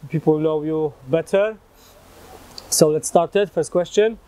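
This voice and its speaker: Male interviewer, fairly high pitch, hesitant, filler-heavy. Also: unhurried, warm tone, clear, resonant tone. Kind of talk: interview questions.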